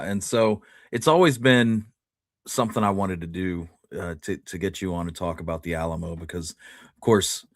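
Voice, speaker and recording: A man hosting a podcast, chatting casually and pausing often mid-sentence. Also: low voice, dry recording, clean studio sound. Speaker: host.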